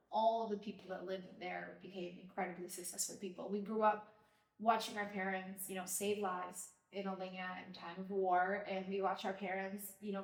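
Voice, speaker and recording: A woman from Albania hosting a podcast, telling her childhood story in a reflective, casual way with many fillers. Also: medium pitch. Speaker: podcast host, mother of co-host. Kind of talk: casual personal conversation. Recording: roomy, distant from the mic.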